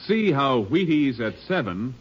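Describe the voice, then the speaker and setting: A man's voice: mid-range voice, very animated, unhurried, breathy edge. A man reading scripted lines as the announcer of a 1950s radio show. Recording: muffled old-time radio recording.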